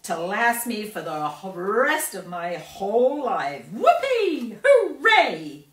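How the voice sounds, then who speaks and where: A woman voicing a brash, bragging gum-chewing girl from a storybook, loud and emphatic. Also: mid-range voice, sing-song, slow. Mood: boastful, excited. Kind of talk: storybook character reading. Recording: roomy, distant microphone.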